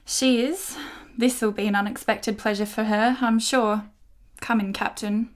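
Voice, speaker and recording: Woman playing a formal, high-pitched maid, noisy track. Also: steady tone, unhurried, close to an everyday voice. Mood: formal, polite. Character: formal, polite household maid in 1870s Italy. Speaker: actress in a radio play. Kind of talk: dramatic radio play acting.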